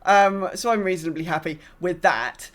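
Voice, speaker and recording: A woman's voice: on the low side, normal speed. A woman co-hosting a gaming podcast in casual chat, thinking aloud in a hesitant, hedging way. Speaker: podcast co-host.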